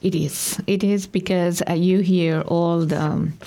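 Earnest woman from Bangladesh, gravelly tone. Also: fairly low pitch, fairly even intonation, mellow, slight background noise. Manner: earnest, halting with many ums. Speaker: community worker and guest. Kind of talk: interview answers.

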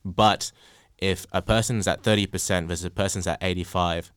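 A young man hosts a podcast panel with a very low voice. He talks in a measured way, leaning on analogies and scattering "uh" fillers as he goes. The sound is dry and close. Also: steady tone, fairly bright tone, clear, resonant tone, no room sound. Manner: frequent "uh" fillers. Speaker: podcast host. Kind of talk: casual panel discussion.

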